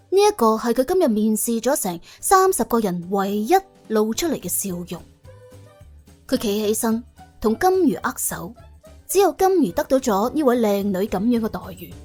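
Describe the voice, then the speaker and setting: A woman with a Cantonese accent tells a story in a highish, very animated voice. The delivery is wry and dramatic, with soft music running underneath. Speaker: audiobook narrator. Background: soft background music.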